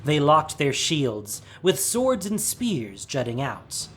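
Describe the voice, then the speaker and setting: A man's voice: on the high side, sing-song, slow pace, a little hiss or hum. A man reading a scripted, dramatic story as its narrator. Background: music.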